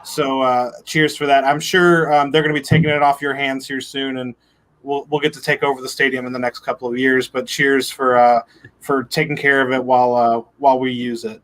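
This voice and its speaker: Man, podcast guest, mid-pitched, opinionated, wry, casual. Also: level tone, a little fast, a bit bright, low-quality recording. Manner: opinionated, wry, laughs along. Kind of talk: casual football fan chat.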